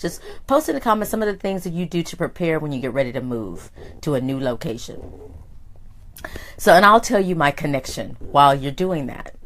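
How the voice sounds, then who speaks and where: Hoarse, low-voiced woman hosting a livestream. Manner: lively, greets viewers by name, rising excited exclamations. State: hoarse, deeper voice than usual. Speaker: host and life coach. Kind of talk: live-streamed motivational talk.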